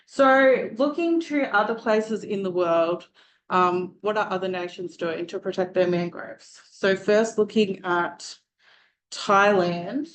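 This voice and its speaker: A woman with a loud, projecting voice gives a prepared presentation to an audience as a student speaker. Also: mid-range voice, takes it slowly.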